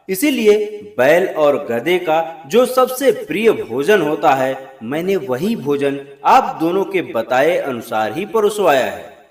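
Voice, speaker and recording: Village headman for a moral story, male, voiced by a man narrator, polite and sage, slow measured lines, roomy echo. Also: fairly high pitch. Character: wise, humble, courteous male village headman. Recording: roomy, echoing voice recording.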